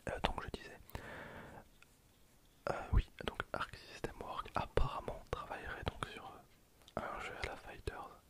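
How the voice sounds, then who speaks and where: A person whispering casual, slangy ASMR commentary over a video game, in breathy, hesitant phrases that trail off. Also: unhurried, long pauses. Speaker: host of an ASMR gameplay channel.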